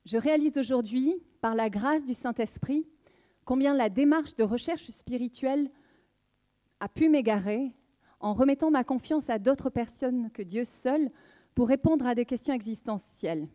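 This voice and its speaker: A woman in a soft-edged, mellow tone. Her voice sits a little high for a woman. She delivers a prepared personal testimony earnestly, in formal, measured phrases. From southwest France.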